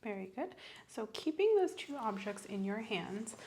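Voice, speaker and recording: A soft-spoken woman playing a medical examiner in an ASMR roleplay, gently giving instructions and encouragement. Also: fairly high pitch, big pitch swings, normal speed.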